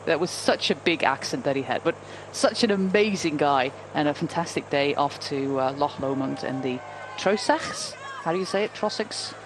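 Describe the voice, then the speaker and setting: A woman's voice: deep voice, lively intonation, normal speed, few pauses, noisy background. A woman in her forties commentating a bike race, chatty and anecdotal with many ums. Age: 40s. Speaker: cycling commentator. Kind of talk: live race commentary chat. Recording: commentary over loud race ambience.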